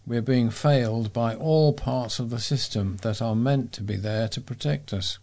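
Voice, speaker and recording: A man's voice: mid-range voice, unhurried, darkish tone. A man reading local news items aloud as a volunteer newsreader. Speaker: volunteer newsreader for a talking newspaper.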